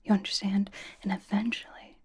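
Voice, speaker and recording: A woman playing a cocky young female pop idol in an ASMR roleplay, whispering breathily with halting, teasing, dramatic emphasis. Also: mid-range voice, level tone, darkish tone, husky. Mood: insistent.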